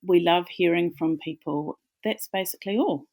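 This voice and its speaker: Low-voiced New Zealand woman. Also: normal speed, vocal fry, a little mellow. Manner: friendly, plain-spoken, with small restarts. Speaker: guest, company founder. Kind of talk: casual interview talk.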